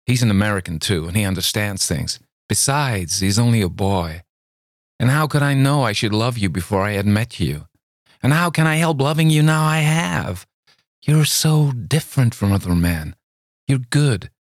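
A man's voice: medium pitch, no echo, clean studio sound, voice pushed up, close to an everyday voice. A man voicing an impulsive, pleading young woman. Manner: breathless, imploring.

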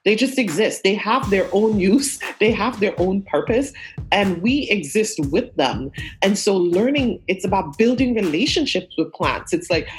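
A woman's voice: mid-range voice, expressive, unhurried, soft background music. A woman from Guyana who moved to New York as a teenager, talking in an interview with an earnest, emphatic way of explaining through repetition. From Guyana, then Brooklyn, New York from age fourteen. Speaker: guest, herbalist. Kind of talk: conversational interview.

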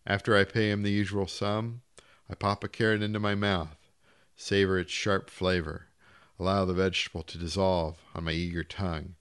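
Very low-voiced man reading fiction. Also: little pitch movement, normal speed, a bit bright, clear, resonant tone. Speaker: short story reader. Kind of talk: scripted literary narration, first-person short story.

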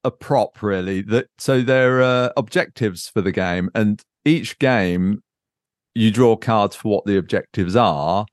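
A man with a fairly low voice talks informally as a podcast host, recorded dry with very little room sound. He thinks aloud in a casual, unscripted way, often searching for the right word. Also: measured pace, no echo. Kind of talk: casual unscripted chat about board games.

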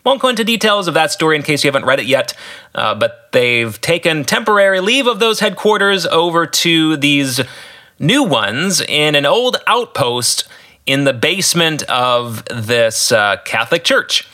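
A man's voice: fairly high pitch, expressive, moderate pace, pushed and bright. An American man hosting a podcast, telling and commenting on a story in a colloquial, emphatic storytelling manner. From United States. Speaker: podcast host and novelist. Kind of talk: podcast commentary recapping his own story.

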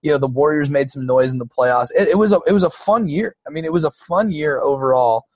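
A man's voice: fairly high pitch, lively intonation, quick pace, breathy edge, dry recording. A man co-hosting a podcast, chatting casually and profanely about basketball, rambling with lots of fillers. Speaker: podcast co-host. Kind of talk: casual sports chat.

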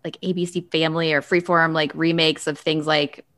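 A woman's voice: deep voice, little pitch movement, brisk, fairly bright tone. A woman hosting a podcast, talking in a casual, conversational style. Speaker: podcast host. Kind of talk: casual chat.

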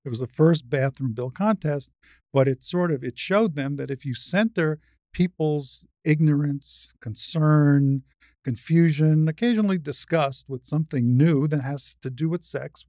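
Older woman as interview guest, low creaky voice, explains stuff confidently, dry close sound. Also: warm tone, dry recording. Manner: assured, explanatory. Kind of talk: conversational explanation.

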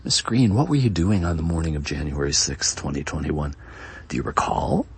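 A man's voice: very deep voice, very animated, moderate pace, breathy edge, heavily acted. A man playing an earnest, insistent prosecutor in a dramatic reading, formal and emphatic. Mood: pressing. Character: earnest, insistent male prosecutor pressing a witness.

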